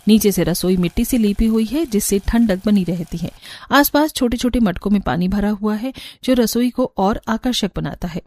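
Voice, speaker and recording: A woman's voice: mid-range voice, moderate pace, a little mellow. A woman narrator reading a scripted story for a cartoon.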